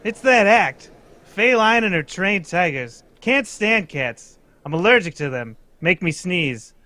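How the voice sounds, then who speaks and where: A man's voice: sits high, big pitch swings, unhurried, pushed and bright, dry recording, full character voice. A man doing a pompous, florid old stage ham. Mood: blustery. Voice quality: florid, exclamatory old-time delivery. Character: pompous, theatrical older male actor sidekick. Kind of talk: 1940s radio acting, table read.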